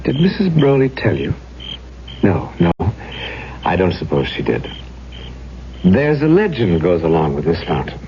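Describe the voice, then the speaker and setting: An actor playing a courtly, mysterious, melancholy gentleman with poetic, theatrical phrasing in an old-time radio drama. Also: low voice, wildly swooping pitch, measured pace, long pauses, heavily acted. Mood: solemn, tragic. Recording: dull, noisy old radio recording.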